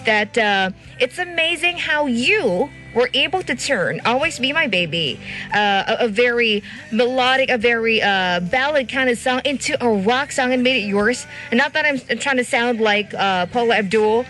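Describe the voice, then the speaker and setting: A woman's voice: a little high, expressive, normal speed, a bit bright, soft background music. A woman hosting a radio interview, bubbly and enthusiastic. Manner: bubbly, enthusiastic, laughs often. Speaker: radio host. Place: radio studio.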